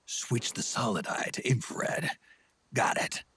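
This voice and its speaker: An actor playing a gruff, weary aging soldier in a video game, terse and wry with a gravelly, husky voice. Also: male voice, medium pitch, lively intonation, unhurried, fairly bright tone, exaggerated voice. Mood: resigned agreement. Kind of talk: dramatic video game acting.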